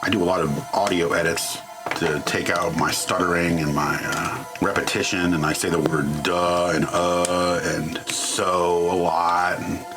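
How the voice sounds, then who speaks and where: Low-voiced guy, casual electronics teardown narration. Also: level tone, measured pace, over loud music. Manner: informal, mildly crude, wry and self-deprecating. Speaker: host of an electronics teardown channel. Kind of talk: casual hands-on tutorial narration.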